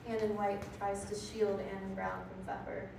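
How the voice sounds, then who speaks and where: Female stage-directions reader, gravelly fry, scripted delivery, heard from a distance. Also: mid-range voice, flat delivery, normal speed. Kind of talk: scripted narration. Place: theater room, filmed staged reading. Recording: echoey room, far off, clear noise.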